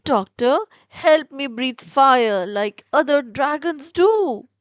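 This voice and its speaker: Lady doing a eager young boy dragon from a kids storybook, earnest, really swoopy, unhurried. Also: sits high, lively intonation, no room sound, pitched above the performer's own voice. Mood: pleading, eager.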